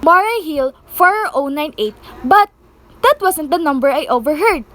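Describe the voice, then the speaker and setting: A woman's voice: sits high, lively intonation, moderate pace, a bit bright. A young woman playing an anxious, demanding invalid wife in an amateur radio play, fretful and insistent. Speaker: student performer in a school radio play.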